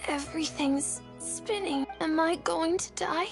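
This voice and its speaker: A very high, creaky child's voice, plays a sick little boy in a video game. He speaks slowly and plaintively, innocent and easily frightened. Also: over music, heavily acted. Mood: weak, fearful.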